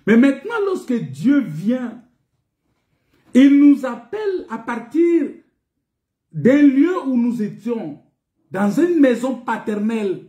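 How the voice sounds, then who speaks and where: Male preacher, high but mellow voice, didactic and repetitive, echoey indoor recording. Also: big pitch swings, slow pace, pauses often. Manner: emphatic, repetitive, didactic with rhetorical questions. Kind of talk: sermon, teaching scripture. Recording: echoey, reverberant room.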